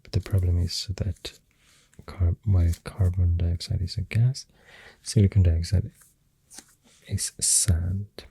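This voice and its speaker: An ASMR creator with a Swedish accent, speaking very softly and often near a whisper, thinking aloud hesitantly into a close microphone. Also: low-pitched, little pitch movement, slow pace, dry recording. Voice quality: very soft, breathy, often dropping to a whisper. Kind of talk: soft-spoken ASMR, rambling science facts.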